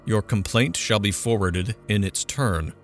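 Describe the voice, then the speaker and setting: Cold official committee, low flat formal replies; a male narrator reads it. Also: unhurried, faint music behind, natural voice. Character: impersonal bureaucratic repair committee.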